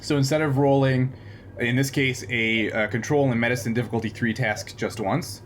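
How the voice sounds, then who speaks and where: A man acting as game master, explaining tabletop game rules off the cuff in a halting, explanatory way. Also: mid-range voice, fairly even intonation, a little hiss or hum.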